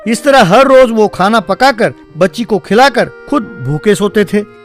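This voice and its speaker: A man's voice: sits high, expressive, measured pace. A man narrating a scripted story over background music. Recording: music behind the voice.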